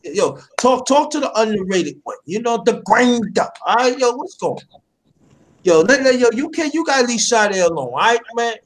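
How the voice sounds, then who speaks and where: A man with a high, lively voice hosts a late-night group call, teasing his guests. He talks in animated bursts, repeating words as he goes. The sound comes over an online call. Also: moderate pace, slight background noise, low-quality recording. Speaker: show host. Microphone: online call audio.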